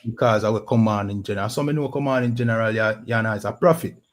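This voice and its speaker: A man's voice: on the low side, quick pace. A man with a Jamaican accent hosting a podcast in a casual talk-show style, often saying "you know what I mean". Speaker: podcast host.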